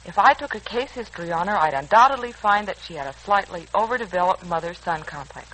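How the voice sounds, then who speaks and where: A woman's voice: fairly low pitch, animated, fast talker, fairly bright tone, low-quality recording. An actress playing a poised, wry, analytical young woman in a 1940s radio serial. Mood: wry, composed. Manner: wry, composed. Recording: old radio recording, faint noise.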